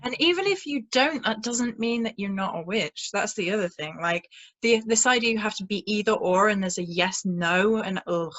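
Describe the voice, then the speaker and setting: A woman's voice: medium pitch, expressive, normal speed, a bit bright, dry recording, muffled recording. A woman with a British accent, chatting casually as she hosts an interview. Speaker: interviewer, channel host.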